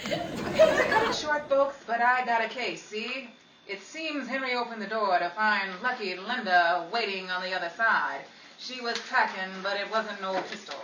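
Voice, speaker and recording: Sassy 1940s radio gumshoe gal played by a woman, wisecracking and highly animated, distant mic in a noisy room. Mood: wry, tough. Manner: theatrical, wisecracking. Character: sassy hard-boiled 1940s woman detective narrator. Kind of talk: 1940s radio detective show narration. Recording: roomy, distant, noisy live room recording.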